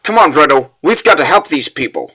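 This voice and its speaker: A man's voice: a little high, very animated, brisk, sharp tone, slightly rough, exaggerated voice. A man voicing an eager, earnest young child adventurer in a comic dub.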